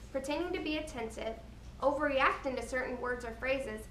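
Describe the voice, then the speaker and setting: A woman giving a scripted slide presentation, explaining each point in a deliberate, earnest way. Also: fairly high pitch, fairly bright tone. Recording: roomy, distant mic with background noise.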